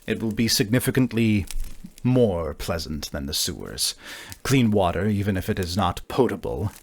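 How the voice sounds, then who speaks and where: A man voicing a formal, measured negotiator in a tabletop game, choosing words deliberately and haltingly. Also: fairly low pitch, very animated, breathy edge, exaggerated voice. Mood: solemn, cautious.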